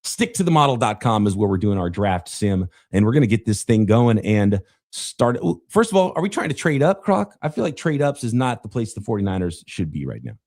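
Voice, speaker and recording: A man hosting a live podcast about the draft, slightly raspy as he fights off a cough, talking casually while he runs a draft simulator. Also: lowish voice, big pitch swings, a little fast, few pauses, a little mellow. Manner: casual, thinking aloud while running a draft sim. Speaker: podcast host.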